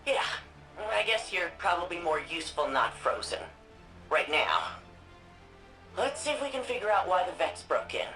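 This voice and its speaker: An actress voicing a spirited, nerdy young woman archivist in a video game, quick and chatty. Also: lowish voice, sing-song, sharp tone, faint music behind, full character voice, poor audio. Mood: playful. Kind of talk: video game dialogue and narration.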